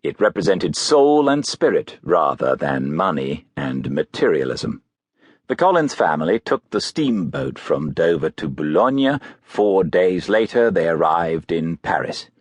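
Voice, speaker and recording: A man narrating a biography audiobook in a measured, literary storytelling manner. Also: low voice, big pitch swings, slightly rough, no room sound.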